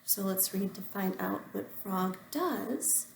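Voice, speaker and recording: A woman teacher reading a storybook aloud to young children, explaining simply with deliberate phrasing. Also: medium pitch, vocal fry, reverberant, a little hiss or hum. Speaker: first-grade teacher.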